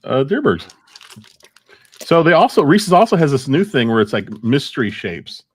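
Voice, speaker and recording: A man's voice: medium pitch, sing-song, moderate pace, slightly rough. An American man co-hosting a podcast, chatting casually with a group. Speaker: podcast co-host.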